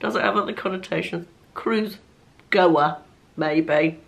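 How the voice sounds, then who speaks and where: A slightly croaky woman, chatting to camera as a lifestyle vlogger, chatty and self-deprecating, often laughing as she talks. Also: lowish voice, a little slow. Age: 50s. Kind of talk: casual chat to camera.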